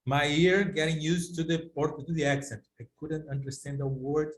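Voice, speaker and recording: A man's voice: a little high, little pitch movement, moderate pace, a little hiss or hum. A man from Brazil whose first language is Portuguese, speaking English on a video call and searching for words, often adding "yeah". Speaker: guest. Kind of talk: casual discussion on a video call.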